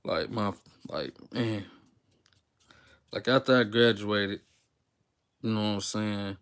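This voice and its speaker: A man's voice: fairly low pitch, takes it slowly, clean tone. A young man from Texas, talking unscripted to his viewers in a rambling, slang-filled way with lots of fillers. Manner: rambling, relaxed slang-filled talk with many fillers and restarts. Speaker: YouTuber. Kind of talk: unscripted casual talk to viewers, live stream.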